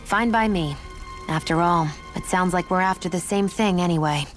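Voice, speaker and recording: A woman's voice: lowish voice, normal speed, faint music behind, lightly acted. An actress playing a guarded, proud young woman in a video game, speaking in a matter-of-fact, curt way. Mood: curt, resolute. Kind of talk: video game cutscene acting.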